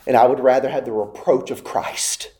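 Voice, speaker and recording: A man's voice: mid-range voice, fairly even intonation, normal speed, warm tone. A man preaching a sermon, building urgency with repeated rhetorical questions. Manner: preaching with rising intensity, repetitive rhetorical questions. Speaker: pastor.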